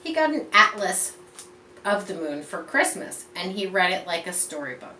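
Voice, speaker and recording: A woman reading a picture book aloud to children in an expressive, teacherly way, pausing to explain and point things out. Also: fairly low pitch. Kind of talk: read-aloud storytelling with explanatory asides. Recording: roomy echo, distant mic, faint background noise.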